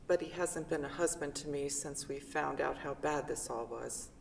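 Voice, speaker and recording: A woman's voice: moderate pace, creaky, slight background noise. A woman playing a bitter, ailing mother who complains and accuses from a hospital bed, in a staged play reading. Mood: bitter, accusing. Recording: rumbly, echoey hall from far off.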